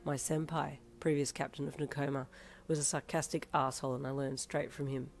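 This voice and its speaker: A woman voicing a cocky, teasing young man who can turn gentle and reassuring. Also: extremely low pitch, flat delivery, brisk, voice pushed down. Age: young adult.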